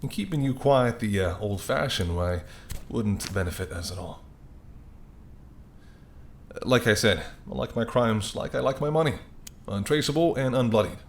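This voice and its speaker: A man's voice: medium pitch, animated, normal speed, long pauses, lightly acted. A man playing an apologetic, wry and courteous male mafia boss in an audio roleplay, speaking conversationally and sardonically straight to the listener. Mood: apologetic, charming. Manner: conversational, sardonic, exasperated, softening into polite charm.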